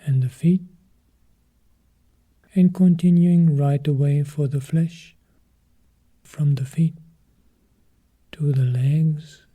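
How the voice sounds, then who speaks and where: A man leads a guided meditation as a teacher. His voice is very mellow and dark, with a soft, husky breathiness. He speaks extremely slowly and calmly, in short measured phrases separated by long pauses. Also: fairly high pitch.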